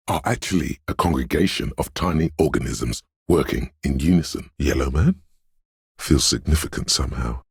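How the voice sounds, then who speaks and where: A mystical inner voice in a video game, extremely low and very husky. It narrates with brooding solemnity, lingering dreamily over its words. The sound is close and dry. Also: male voice, darkish tone, no echo, exaggerated voice. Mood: intrigued, mysterious. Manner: slow-savouring, solemn, dreamlike delivery. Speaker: game voice actor.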